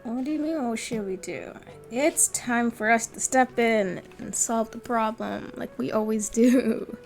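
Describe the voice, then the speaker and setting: A woman's voice: a little high, measured pace, faint music behind. A woman game streamer giving casual, chatty reactions as she plays.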